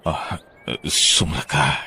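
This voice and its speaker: A man playing Ray, a hurt but forgiving husband in an Ilocano radio drama, with a rough gravelly voice. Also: extremely slow, sharp tone, exaggerated voice.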